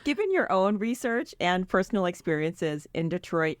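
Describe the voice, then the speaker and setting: A woman with a lowish voice asks formal, carefully worded interview questions in a measured way. Her sound is close and dry. She is the host of a podcast. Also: big pitch swings, no room sound. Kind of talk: reading prepared interview questions.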